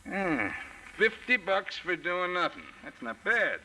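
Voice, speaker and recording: Wry, sharp-voiced radio gumshoe. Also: male voice, high voice, big pitch swings, exaggerated voice. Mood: wry, casual. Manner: casual, wisecracking, drawn-out asides. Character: wry, easygoing, money-minded private detective. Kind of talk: 1940s radio mystery acting. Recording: noisy vintage 1940s radio recording.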